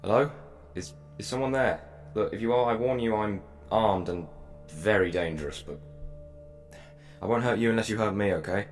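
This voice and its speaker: A man's voice: lowish voice, normal speed, natural voice. A man playing a curious, self-questioning time traveller in an audio drama, musing in a wry, hesitant way. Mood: nervous, warning. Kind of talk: audio drama monologue and dialogue. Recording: music under the voice.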